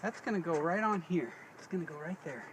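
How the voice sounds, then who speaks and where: Higher-voiced folksy man in noisy vehicle recording. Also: animated, fairly quick. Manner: folksy, thinking aloud while working. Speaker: DIY demonstrator. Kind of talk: casual hands-on how-to vlog. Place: inside a parked Jeep.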